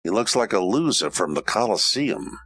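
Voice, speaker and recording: An actor playing a hard-boiled, wisecracking Roman private eye, wry and tough-talking, in an old-time radio detective parody. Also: male voice, fairly low pitch, very animated, moderate pace, fairly bright tone, breathy edge, no echo, clean studio sound, full character voice. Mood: brisk, sardonic.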